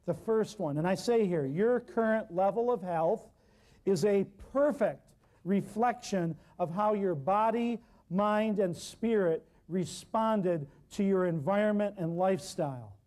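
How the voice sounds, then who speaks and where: A man leads a healing workshop in a loud, emphatic, folksy manner, stacking up short lists of words for effect. His voice sits high for a man, yet it has a mellow, warm tone. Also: a little slow. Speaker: natural healing teacher. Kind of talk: workshop lecture to a live class.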